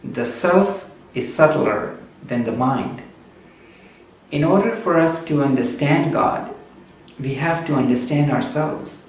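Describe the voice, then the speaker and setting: Urdu-speaking guy, slow and thoughtful, lots of uh pauses, mic kinda far, super echoey. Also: a little high. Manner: slow, measured, with frequent "uh" pauses. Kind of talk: reflective spoken essay. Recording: very echoey room, distant mic, faint background noise.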